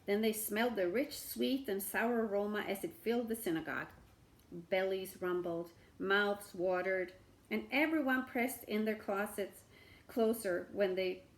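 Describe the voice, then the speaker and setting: A woman storyteller reading a children's book aloud expressively, with asides to her listeners. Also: medium pitch.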